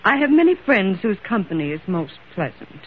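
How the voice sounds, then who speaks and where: A lonely, discontented wife played by a woman in a vintage radio play. She speaks with wounded restraint through a thin, narrow-band broadcast sound with steady background noise. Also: medium pitch, fairly quick, lightly acted. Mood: bitter, wounded. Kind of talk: old-time radio drama acting. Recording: tinny, noisy old radio recording.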